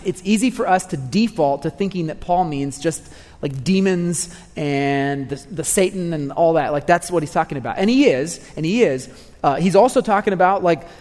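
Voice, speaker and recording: A man's voice: on the high side, a little mellow, big-room sound. A man preaching a sermon, earnest and repeating phrases for emphasis.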